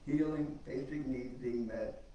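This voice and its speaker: A soft-voiced man giving an informal, reflective talk on faith as a guest speaker at a church service, in a conversational way. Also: mid-range voice, measured pace, highs cut off. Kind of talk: informal sermon teaching from notes and scripture. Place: indoor service room, mic some way off. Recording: distant mic, roomy echo, faint noise.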